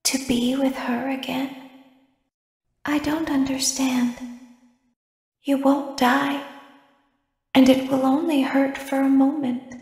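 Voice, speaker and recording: Game role: calm, uncanny female robot. High, measured, unhurried delivery, gently pleading, echoing space. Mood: eerily pleading. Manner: slow, measured, softly insistent. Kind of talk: scripted video game voice acting. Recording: roomy, echoing game audio.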